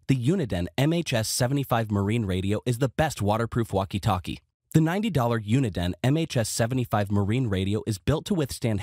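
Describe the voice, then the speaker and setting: A man's voice: mid-range voice, darkish tone, no echo, studio quality. A man reading scripted product review narration with a polished, promotional delivery.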